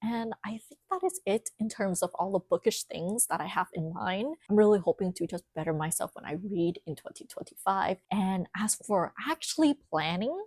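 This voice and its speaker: A young woman whose first language is Vietnamese, chatting casually and animatedly to camera, with quick restarts. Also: medium pitch, lively intonation, a little mellow, dry recording. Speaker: book vlogger.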